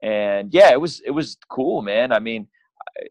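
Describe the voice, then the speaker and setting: A man's voice: mid-range voice, expressive, unhurried, no echo. A young man from Azerbaijan, a guest on a podcast, talking casually and rambling with lots of "you know" and "like.". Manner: rambling, restarts often, leans on "you know" and "like". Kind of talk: casual interview chat.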